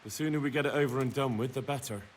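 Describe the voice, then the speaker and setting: An actor playing a polite, plain-spoken young commoner serving a lord in a medieval video game. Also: male voice, mid-range voice, very fast, recorded from a distance, natural voice. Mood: puzzled, resigned.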